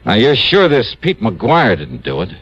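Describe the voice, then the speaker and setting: An actor playing a skeptical insurance investigator in an old radio detective drama, asking probing, clipped questions. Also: male voice, lowish voice, wildly swooping pitch, measured pace, a bit bright, breathy edge, exaggerated voice. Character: brisk, skeptical male freelance insurance investigator. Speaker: lead actor and first-person narrator. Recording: dull vintage radio recording.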